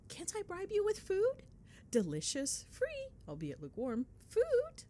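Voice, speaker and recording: Young girlfriend played by a woman, ASMR roleplay call: excitable, playful, lively swooping intonation, affectionate teasing. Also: sits high, full character voice. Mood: playful pleading. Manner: playful, chatty, expressive, mildly sweary.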